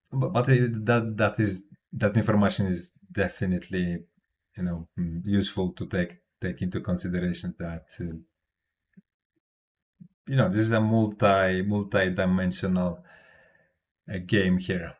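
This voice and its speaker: European guy rambling on a livestream, second-language english, lowish voice, lots of uh and um. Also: steady tone, unhurried, pauses often. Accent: non-native English. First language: not English. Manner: hesitant, many fillers and restarts. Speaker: crypto livestreamer. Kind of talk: casual livestream talk.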